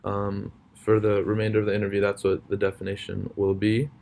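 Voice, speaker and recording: A man's voice: lowish voice, monotone, a little fast, gravelly, a little mellow. A young man interviewing someone, asking questions hesitantly with lots of ums.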